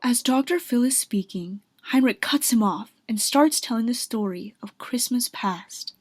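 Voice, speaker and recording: A woman's voice: on the high side, unhurried, breathy edge, dry recording. A woman narrating a scripted radio drama as an earnest storyteller.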